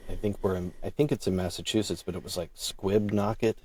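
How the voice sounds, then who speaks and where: A man's voice: deep voice, steady tone, fairly quick, a crowd in the background. A middle-aged man speaking as a podcast guest, a creator talking casually about his writing in an interview. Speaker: podcast guest, audio drama creator and writer.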